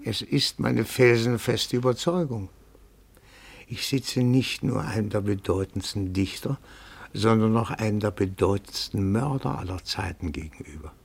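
An actor playing a timid, pedantic petty-bourgeois amateur detective in a radio play, speaking formally and deferentially with meticulous phrasing. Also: male voice, lowish voice, a little slow, creaky, slightly rough, heavily acted. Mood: triumphant, insistent.

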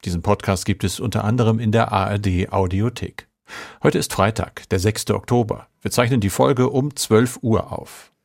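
A man's voice: fairly low pitch, normal speed, gravelly, darkish tone. A man hosting a podcast, reading scripted moderation. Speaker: podcast host.

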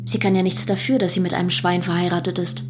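A woman's voice: fairly low pitch, flat delivery, quick pace, over music, close to an everyday voice. A woman acting a cold, unforgiving mother in a German radio drama, speaking curtly and accusingly. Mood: curt, hostile. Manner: curt, cutting, accusatory. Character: cold, hostile, unforgiving young mother in a marital feud.

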